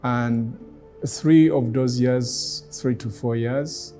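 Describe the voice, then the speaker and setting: A middle-aged man with a Rwandan accent, a native Kinyarwanda speaker, talking reflectively in a deliberate, measured way with pauses. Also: mid-range voice, steady tone, mellow, faint music behind. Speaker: guest speaker, former ambassador. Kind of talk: reflective personal talk.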